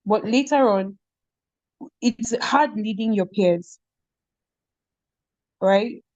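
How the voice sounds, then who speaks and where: A young Nigerian woman chats on a podcast, her mid-pitched voice lively and sure as she tells her school stories. The sound is dry and a little dull, with no room echo. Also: drawn-out words. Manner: animated storytelling, with frequent laughter and "do you get?". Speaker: podcast guest, former head girl. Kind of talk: casual conversational interview.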